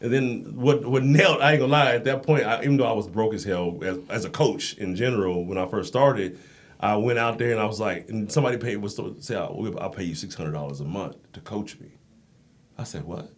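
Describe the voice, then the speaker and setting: A man's voice: on the low side, a little fast. An American man talking casually as a podcast guest, telling anecdotes and acting out the dialogue in them. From United States. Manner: animated storyteller, acts out quoted dialogue. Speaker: podcast guest, endurance coach and Marine. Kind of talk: casual, anecdotal interview talk.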